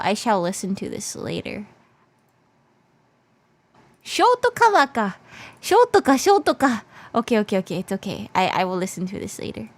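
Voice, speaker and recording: A woman livestreamer chatting casually in a playful, hesitant, repetitive way, with a stuffed nose and a voice that is slightly giving out. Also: mid-range voice. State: slightly losing her voice. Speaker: VTuber livestreamer. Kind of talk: casual livestream chat in English mixed with Japanese.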